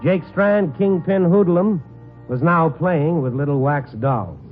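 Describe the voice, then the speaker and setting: An American actor playing a wry, hard-boiled night reporter in a 1950s radio drama, both narrating and talking in dry slang. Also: male voice, a little high, lively intonation, slow pace, phone-quality sound, faint music behind. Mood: grim, wry narration. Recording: band-limited old radio broadcast recording.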